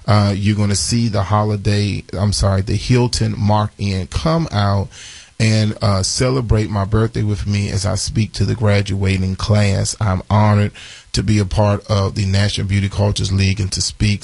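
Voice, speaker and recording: A man in his forties with a raspy voice, hosting a live morning radio show and chatting through announcements with lots of fillers and restarts. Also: fairly low pitch, darkish tone, no echo. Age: 40s. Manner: chatty, repeats phone numbers, many fillers and restarts.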